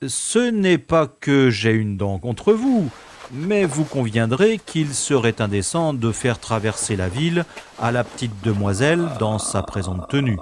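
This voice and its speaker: Guy acting as dry witty gallant adventurer in a radio play, medium pitch, really echoey. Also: sing-song, measured pace, slight background noise. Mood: wry, reassuring. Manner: wry, formal phrasing, thinking aloud. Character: wry, gallant male adventurer rescuing a young woman. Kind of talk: French-language audio drama acting with first-person narration. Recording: echoey long reverb, music behind.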